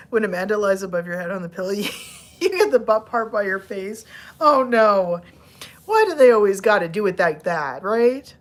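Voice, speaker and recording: A woman hosting a live stream at a middle pitch, slipping into soft, playful baby-talk for her cats. She laughs easily and rambles with lots of fillers. Her voice is starting to go hoarse. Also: lively intonation, normal speed, pauses often. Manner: chatty and rambling, many fillers. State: losing her voice. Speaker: livestream host talking to viewers and her cats. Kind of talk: casual live chat.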